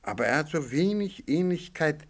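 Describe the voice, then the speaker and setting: Shrewd, formal astrologer in radio drama. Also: male voice, on the high side, animated, moderate pace. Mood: musing, probing. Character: shrewd, courteous male astrologer and graphologist, quietly probing. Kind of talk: German radio play acting.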